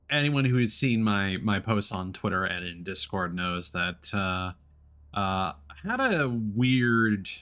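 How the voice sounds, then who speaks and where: Man, fairly low pitch, game streamer, casual let's play talk, halting phrasing. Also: a bit bright.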